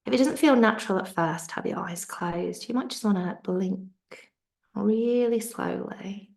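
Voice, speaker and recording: A woman teaching yoga nidra, guiding a relaxation in a soft, soothing way that sometimes sinks to a whisper, over a video call. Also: medium pitch, a little slow, vocal fry. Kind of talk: guided relaxation instruction.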